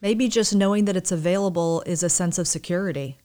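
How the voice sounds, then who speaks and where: A woman's voice: on the low side, a little fast, mellow. A woman chatting casually as a podcast co-host, putting in questions and short reactions.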